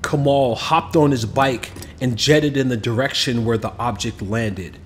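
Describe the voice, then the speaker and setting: A man reading a children's picture book aloud as an expressive scripted narration, with music behind him. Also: medium pitch, measured pace, a little hiss or hum. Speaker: storybook narrator. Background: background music.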